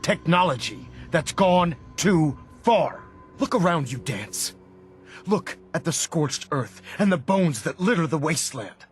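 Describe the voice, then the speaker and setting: An actor playing a stern, unforgiving military commander in a video game, oratorical, emphatic and contemptuous. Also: male voice, a little high, lively intonation, unhurried. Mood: righteous contempt. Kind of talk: dramatic video game acting. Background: cinematic music.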